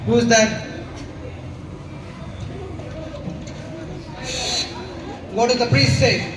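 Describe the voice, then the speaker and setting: A man's voice: very high voice, normal speed, a crowd in the background. A young man acting a proud, fearless Roman ruler in a school play, declaiming boastfully. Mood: commanding, defiant. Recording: echoey noisy hall from far off.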